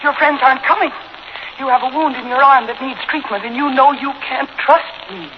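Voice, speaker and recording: Casting: woman to play cunning, embattled housewife in 1940s radio thriller; high-pitched, dramatic, slightly noisy recording. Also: brisk, close to an everyday voice. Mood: defiant. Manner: dramatic, pointed, sarcastic. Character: defiant, frightened young mother held hostage, cunning. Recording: dull 1940s radio recording, faint noise.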